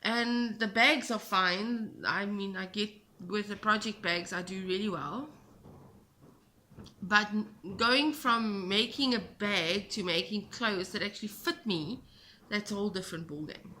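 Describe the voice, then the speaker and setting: A woman's voice: medium pitch, a little slow, pushed and bright, slight background noise. A South African woman whose first language is Afrikaans, hosting a knitting podcast in a rambling, self-deprecating chat from home. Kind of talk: casual chat to camera. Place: home, indoors. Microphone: camera at a short distance.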